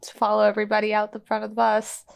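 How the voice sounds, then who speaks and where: A woman's voice: medium pitch, monotone, very fast, vocal fry, smooth. A woman playing a tabletop role-playing game, chatting casually as she explains things. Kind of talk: casual chat.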